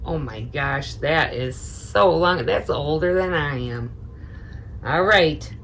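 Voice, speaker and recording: Middle-aged woman, low voice, slow pace, motherly storyteller, chatty asides, faint background noise. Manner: expressive, chatty, motherly, adds commentary. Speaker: storyteller reading aloud to children. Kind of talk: children's story time read-aloud with casual asides.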